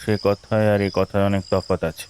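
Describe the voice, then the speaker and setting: A man's voice: fairly low pitch, flat delivery, moderate pace, a little mellow, a little hiss or hum, close to an everyday voice. An actor playing a hesitant, educated young man who argues mildly with his father and hesitates as he speaks. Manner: hesitant, mumbling.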